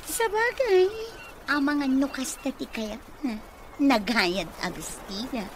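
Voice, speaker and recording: A woman's voice: high-pitched, animated, slow pace. A woman acting a vain, dreamy, easily offended young office worker in a radio comedy drama, with an Ilocano accent. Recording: clear background noise.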